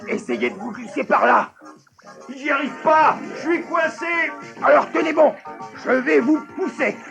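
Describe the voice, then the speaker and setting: Panicky cartoon grown-up, high gravelly voice, swooping pitch, whiny, loud music behind. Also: male voice, very animated, a little slow, exaggerated voice. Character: anxious adult man, trapped and panicky. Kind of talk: 1980s cartoon dubbing.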